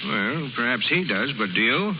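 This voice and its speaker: An actor in a 1930s radio drama playing a meddling small-town gentleman with a formal, measured, officious manner. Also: mid-range voice, big pitch swings. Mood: officious, insinuating. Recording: crackly, muffled 1930s radio broadcast recording.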